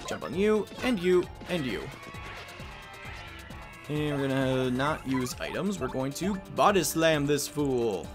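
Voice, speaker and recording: A man's voice: mid-range voice, big pitch swings, slow. A man giving casual gameplay commentary as the host of a let's play. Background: game music.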